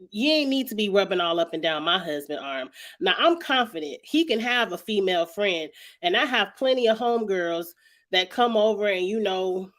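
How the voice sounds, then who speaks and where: A young woman hosting a casual reality TV recap, her voice a little raspy from a cold, chatting loosely and often clearing her throat. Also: mid-range voice, fairly bright tone. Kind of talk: casual vlog chat.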